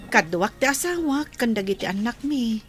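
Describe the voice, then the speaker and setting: A woman acting a higher-voiced condoling villager. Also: lively intonation, normal speed, close to an everyday voice. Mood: solemn, sympathetic. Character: village wife offering condolences, respectful, sympathetic. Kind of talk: radio drama acting.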